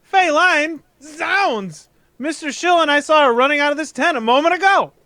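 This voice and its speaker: A man playing a pompous, excitable, florid theatrical gentleman in 1940s radio serial style. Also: very high voice, normal speed, dry recording, exaggerated voice. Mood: shocked.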